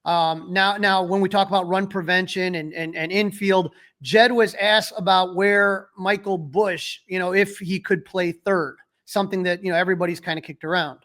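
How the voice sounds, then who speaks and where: A man's voice: high-pitched, steady tone, normal speed, few pauses, fairly bright tone. A man co-hosting a sports podcast, giving casual but emphatic, opinionated analysis.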